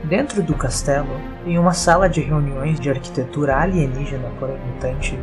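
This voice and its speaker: A man's voice: on the high side, brisk. A man with a Brazilian accent reading scripted story narration as the narrator of an audio tale. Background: music.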